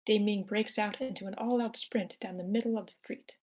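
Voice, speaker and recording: Woman, narrator, mid-range pitch, screenplay stage directions, table-read narration. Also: steady tone, brisk, a little mellow.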